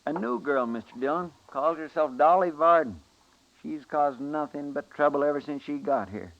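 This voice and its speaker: An actor playing a folksy, eager marshal's helper, hesitant and respectful, in 1950s radio western style. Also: male voice, fairly high pitch, moderate pace, highs cut off, natural voice. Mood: earnest, obliging.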